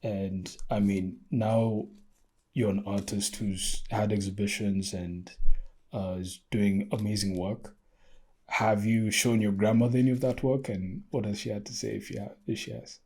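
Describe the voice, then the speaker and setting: Low, husky-voiced male interviewer. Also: fairly even intonation, measured pace, a little mellow. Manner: hesitant, lots of fillers, friendly. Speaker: podcast host. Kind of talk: interview questions.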